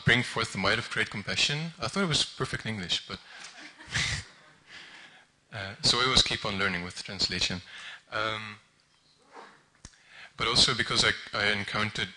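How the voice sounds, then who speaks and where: Hesitant young man, bright tense voice. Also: lowish voice, a little hiss or hum, poor audio. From Netherlands. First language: Dutch. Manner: hesitant, many ums and restarts. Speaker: volunteer translator speaking at an event. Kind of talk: informal public talk.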